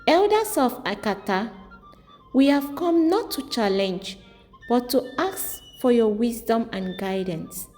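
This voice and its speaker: A woman's voice: on the high side, animated, slow pace, darkish tone. A woman playing a bold young village wife who speaks steadily and eloquently in a folktale. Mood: steady, earnest. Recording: long reverb, music underneath.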